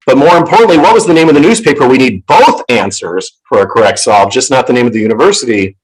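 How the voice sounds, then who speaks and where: Loud guy hosting a livestream quiz, hammy announcer bits, mid pitch, right up on the mic. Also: lively intonation, quick pace, rough recording. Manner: playful showman, theatrical announcing. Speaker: show host. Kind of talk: casual live-stream chat and quiz hosting. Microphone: close professional microphone on an online call.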